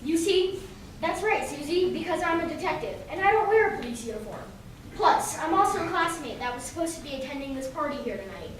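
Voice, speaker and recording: A woman's voice: sits high, sing-song, brisk. A woman playing an earnest male police detective in old-time radio drama style. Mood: gentle, polite. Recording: very echoey hall, distant mic, faint noise.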